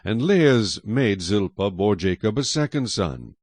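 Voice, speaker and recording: A man narrating scripture dramatically, in an expressive storytelling manner. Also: on the low side, a little slow, dry recording.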